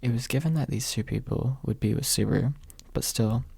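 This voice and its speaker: A young man with a soft, husky voice, reading quietly in an ASMR audiobook style, close to the microphone. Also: medium pitch, fairly quick, no echo. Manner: soft-spoken, hushed late at night. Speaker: amateur audiobook reader. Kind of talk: ASMR, quiet storybook narration. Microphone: close USB microphone without pop filter.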